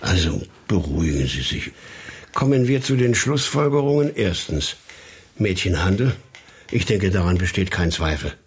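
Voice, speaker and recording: An actor playing a sober, cautioning police detective in a radio crime drama, methodical and authoritative. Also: male voice, low voice, lively intonation, moderate pace, slightly rough, heavily acted. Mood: analytical. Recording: music behind the voice.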